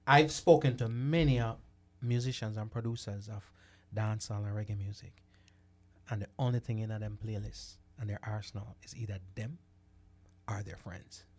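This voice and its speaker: A man's voice: on the low side, fairly even intonation, moderate pace, a little mellow. A man hosting an interview in casual conversation, giving frequent affirmations and stammering repeats as he talks. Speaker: host.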